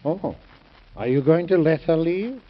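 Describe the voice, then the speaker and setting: An actor playing a weary, sarcastic cabinet member in a 1940s radio drama, on a dull old broadcast recording. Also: male voice, on the high side, lively intonation, unhurried. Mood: wry. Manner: dry, sarcastic. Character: weary, wry male cabinet member, middle-aged.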